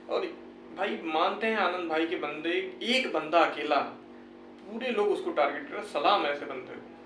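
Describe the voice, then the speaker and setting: High-pitched north Indian man, echoey. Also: fairly bright tone. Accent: north Indian, Uttar Pradesh. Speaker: YouTube host giving exam recruitment updates. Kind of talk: informal explanatory update addressed to viewers. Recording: roomy echo, distant mic, music behind.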